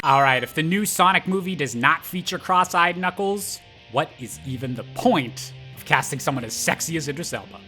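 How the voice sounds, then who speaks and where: A man's voice: sits high, sharp tone. A man narrating a comedic video game critique in an exaggerated, sarcastic, mock-exasperated way, with rambling asides. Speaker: host of a parody video game critique series.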